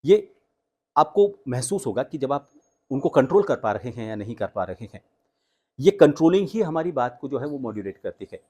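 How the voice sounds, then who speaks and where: Man, mid-range pitch, voice coach, instructional tutorial delivery, deliberate emphasis, explanatory. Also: big pitch swings, a little mellow, husky. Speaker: voice coach and host. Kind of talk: instructional tutorial with spoken demonstrations.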